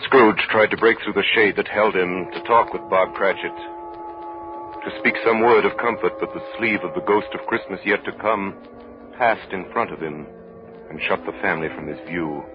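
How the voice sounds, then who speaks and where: A man narrates a 1930s radio drama with solemn theatrical weight. His voice is on the low side. Music plays clearly behind him on a muffled old recording. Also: normal speed.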